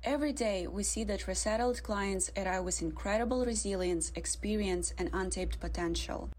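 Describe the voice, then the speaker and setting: A woman hosting a radio interview, formal and measured. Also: mid-range voice, a little mellow, a little hiss or hum. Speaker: host, interviewer.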